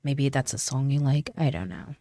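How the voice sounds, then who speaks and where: Deep-voiced woman with a stuffy cold. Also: expressive, moderate pace, gravelly, dark tone, a little hiss or hum. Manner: casual and chatty, with frequent laughs and fillers. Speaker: tarot reader. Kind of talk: casual tarot reading to camera.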